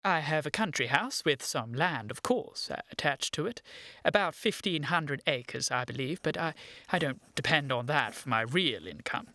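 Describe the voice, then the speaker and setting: A man playing a polite, nervous young gentleman with an upper-class English accent in a comic period radio play. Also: a little high, lively intonation, normal speed, dry recording, studio quality. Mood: hesitant, earnest. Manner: hesitant, deferential, apologetic.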